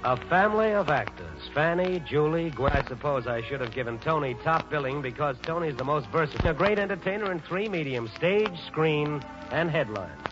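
A man acting as a droll, polished narrator from 1940s radio, speaking in a fairly high male voice. The muffled vintage recording has clear music behind him. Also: lively intonation, fairly quick, few pauses, natural voice. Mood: wry, urbane. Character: wry, urbane male narrator introducing a theatrical family.

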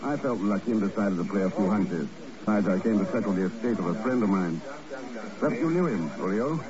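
An actor playing a shrewd secret agent with a dry, understated manner, in 1950s radio drama style. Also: male voice, fairly low pitch, expressive, brisk, crowd noise, natural voice. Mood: casual, dry. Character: shrewd, wry secret agent following his hunches. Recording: muffled, noisy old radio recording.

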